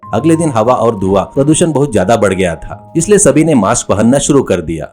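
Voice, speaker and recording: Casting: male storyteller, medium pitch, lively expressive scripted comedy narration with background music. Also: fairly quick.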